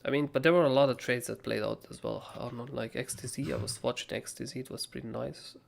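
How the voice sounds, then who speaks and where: A young man with a hoarse, raspy voice, casually talking through trading charts as a livestream host, rambling and swearing freely. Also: mid-range voice, fairly even intonation, quick pace. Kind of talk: casual live chart analysis.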